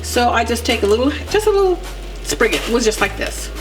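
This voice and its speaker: Chatty woman, highish voice, roomy kitchen sound. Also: a little fast. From Jamaica. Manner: chatty, emphatic, rhetorical questions to listener. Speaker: cooking show host. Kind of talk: casual cooking demonstration. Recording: roomy echo, loud music behind. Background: music, clattering pots, stirring.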